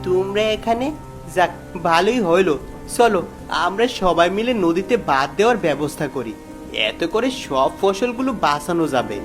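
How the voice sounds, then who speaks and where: A man's voice: high-pitched, expressive, moderate pace, over music. A man playing a protective, kindly village father in a cartoon fairy tale, earnest and firm. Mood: determined. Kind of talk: animated fairy tale character acting.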